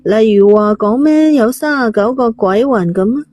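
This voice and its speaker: A woman's voice: on the high side, expressive, measured pace, a little mellow, no echo, voice pushed up. A woman voicing a defiant, detached teenage girl in a storybook reading, blunt and offhand. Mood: matter-of-fact.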